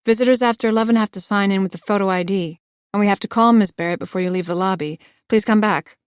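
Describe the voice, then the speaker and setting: A woman's voice: medium pitch, fast, clear, resonant tone, no room sound, clean studio sound, close to an everyday voice. A woman playing a firm, formal male doorman. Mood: insistent. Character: officious male building doorman.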